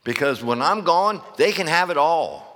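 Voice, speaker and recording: A man preaching a loud sermon as pastor, hollering to the congregation and calling for amens, his voice going hoarse. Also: on the high side, animated, normal speed. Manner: hollering, call-and-response preaching. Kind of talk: fiery sermon. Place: church sanctuary. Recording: long reverb of a church hall.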